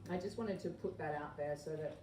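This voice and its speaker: Echoey, low-voiced woman giving an informal talk. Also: brisk. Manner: earnest, explanatory, conversational. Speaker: naturopath and health educator giving a talk. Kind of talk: informal public health talk. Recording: echoey room, distant mic, background noise.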